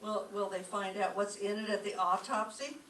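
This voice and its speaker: A woman playing a wry, sardonic high school teacher in an old-time radio comedy, with wisecracking delivery. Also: level tone, normal speed, fairly bright tone, close to an everyday voice. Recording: echoey stage, distant mic, faint noise.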